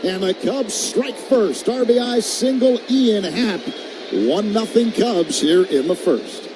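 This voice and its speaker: A man's voice: high-pitched, takes it slowly. An American man calling live baseball play-by-play on the radio. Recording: clearly audible stadium ambience noise. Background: ballpark ambience.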